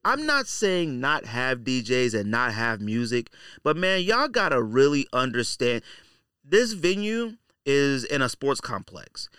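A middle-aged man hosts a casual podcast with a bright, forceful voice and very lively pitch. He rants in slangy, opinionated bursts and hammers points by repeating them. The sound is dry and close. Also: a little slow, clean tone, no room sound. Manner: animated ranting, slangy, repeats points for emphasis. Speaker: podcast host.

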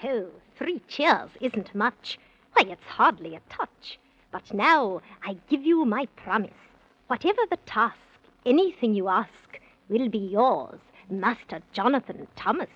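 A woman's voice: on the high side, big pitch swings, a bit bright, breathy edge, heavily acted. A woman playing a pompous, generous elf king who speaks in grand rhymes in a 1930s children's radio serial. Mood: grandly generous. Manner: grand, rhyming, deliberate. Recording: muffled 1930s radio recording.

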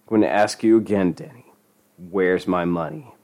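A man voicing a menacing male drug dealer in a story, grumbling and shouting angry threats with swearing. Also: sits low, normal speed, natural voice. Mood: threatening.

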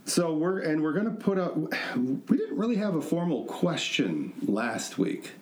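A man's voice: a little high, big pitch swings, measured pace, a little mellow. A man hosting a podcast in a casual, chatty way, with a joking, self-mocking manner. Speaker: podcast host. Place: echoey indoor studio room. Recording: echoey room sound.

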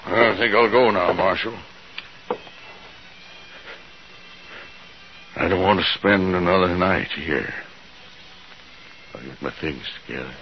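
Grieving frontier settler, extremely low, creaky gravel voice, bleak, plain-spoken, halting, old radio western. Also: male voice, pushed and bright. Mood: bitter resignation. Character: broken, grieving, tough, dry frontier homesteader. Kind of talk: 1950s radio western acting. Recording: dull, hissy old radio recording.

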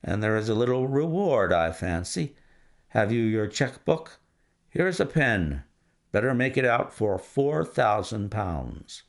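A man voicing a calm, stern male detective who speaks in a measured, authoritative way. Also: lowish voice. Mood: dry.

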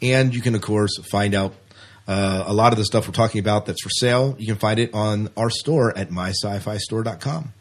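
A man's voice: lowish voice, moderate pace. A man hosting a podcast in casual banter, chatty, jokey and enthusiastic, often repeating himself for emphasis. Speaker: podcast host.

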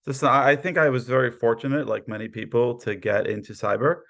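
A man's voice: medium pitch, fairly even intonation, quick pace, fairly bright tone. An American man in his thirties answering interview questions conversationally, in a modest, explanatory way. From Brooklyn, New York, born in Poland. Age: 30s. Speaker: podcast guest, cyber insurance professional.

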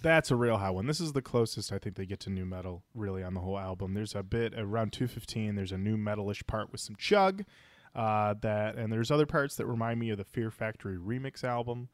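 A man's voice: fairly low pitch, expressive, fairly quick, no echo. A man hosting a casual music discussion podcast, talking in an enthusiastic, slangy, joking way. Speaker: podcast host.

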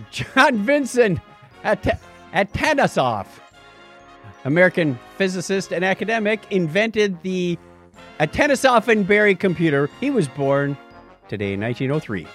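Animated man hosting a chatty podcast. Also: high-pitched, measured pace, long pauses, faint music behind. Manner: jokey, chatty. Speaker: podcast host. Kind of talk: casual news and birthdays banter.

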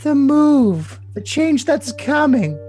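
A man's voice: very high voice, sing-song, slow, darkish tone, soft background music, exaggerated voice. A man playing a clingy, anxious, lovestruck young woman in an overly dramatic comic reading of texts, with a sugary, melodramatic delivery. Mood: anxious, pleading. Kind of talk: overly dramatic comedic reading of text messages.

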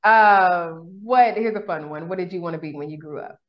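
A woman hosts a podcast in a casual, loose way, often stopping to rephrase. Her voice sits on the low side, and the audio sounds dull and muffled. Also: moderate pace. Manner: casual, loose, backtracks mid-question. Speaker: podcast host. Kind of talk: casual interview chat.

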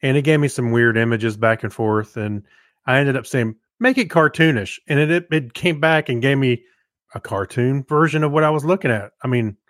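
A man's voice: mid-range voice, normal speed, a bit bright, dry recording, studio quality. A man co-hosting a podcast, chatting casually and explaining things in an amused, conversational way. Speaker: podcast co-host. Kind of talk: casual chat.

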